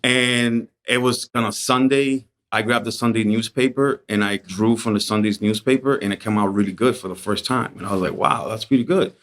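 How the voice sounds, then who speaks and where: A middle-aged man from the Dominican Republic talks through his life as a painter in a relaxed, reflective way. His voice sits somewhat low, and his storytelling is casual and unhurried. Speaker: painter and muralist, guest.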